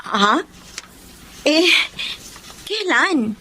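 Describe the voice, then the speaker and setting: Coy young Filipina, high animated voice. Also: female voice, extremely slow, exaggerated voice. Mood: coy, nervous. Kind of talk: Filipino radio drama acting.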